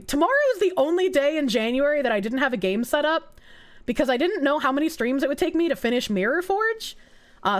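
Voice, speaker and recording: A woman with a Boston accent chats with her stream audience, her voice pitched high and swinging up and down. She rambles through tangents, swears without care and laughs often, and she has a slight cough from a cold she is getting over. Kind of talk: casual chat, reading and answering viewer chat.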